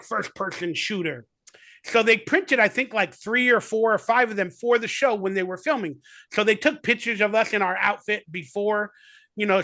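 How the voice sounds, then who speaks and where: A man's voice: high-pitched, pushed and bright, no room sound. A man in his forties, a guest actor on a video call, talking in a rambling, enthusiastic way full of fillers. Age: 40s. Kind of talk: casual remote interview.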